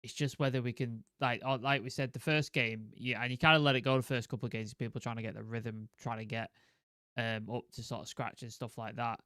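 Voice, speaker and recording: A man's voice: medium pitch, steady tone, a little fast, sharp tone, no room sound, studio quality. Rambling man on a football panel. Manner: rambling, strings clauses together. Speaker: podcast panelist. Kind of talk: casual football chat.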